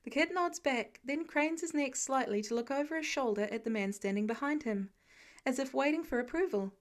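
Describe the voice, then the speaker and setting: Woman, somewhat high pitch, scripted story narration, audiobook reader. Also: fairly quick. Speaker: audiobook narrator of fan fiction.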